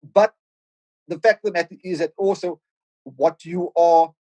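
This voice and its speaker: Man, middle-aged, Cape Town South African accent, fairly high voice, dry close mic, thoughtful, stammering restarts. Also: fairly even intonation, unhurried, dark tone, no room sound, dull audio. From South Africa, Cape Flats in Cape Town. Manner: reflective, with frequent stammered restarts. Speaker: podcast guest, rapper and producer. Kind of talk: casual podcast conversation.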